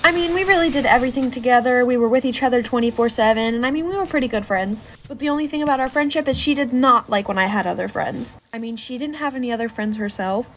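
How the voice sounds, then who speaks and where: A woman's voice: a little high, fast talker, few pauses. A young adult woman narrating a casual storytime. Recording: clear background noise, crinkling sounds.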